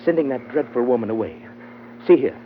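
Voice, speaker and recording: A man's voice: medium pitch, a little fast, lightly acted. Pompous old radio trader character male actor, super formal flowery talk, theatrical, muffled audio bit of hiss. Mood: formal, sly. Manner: florid, formal, grandiloquent. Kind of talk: 1940s-50s radio adventure acting. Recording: muffled, faintly noisy old radio recording.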